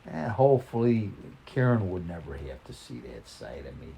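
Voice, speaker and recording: Older man, folksy storyteller, halting and reflective, lively rise and fall in pitch, faint rain-like noise behind. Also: creaky. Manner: folksy, plain-spoken. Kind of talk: reading a personal short story in a conversational, reminiscing way.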